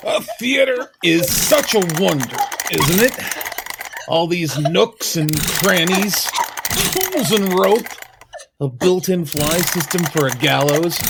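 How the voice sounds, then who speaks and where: An actor playing a menacing male stranger in an audio drama, taunting his prey in a deliberate, theatrical manner. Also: high voice, gravelly, very noisy, heavily acted. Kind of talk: audio drama villain acting.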